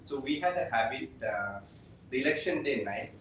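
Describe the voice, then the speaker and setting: A man from Sri Lanka working as an election observer, talking casually on a panel with many pauses and fillers. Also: medium pitch, animated, fairly bright tone, a little hiss or hum. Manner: halting, with many fillers and restarts. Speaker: election observer, program officer. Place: indoor room, shared table with other guests. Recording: echoey room, distant mic.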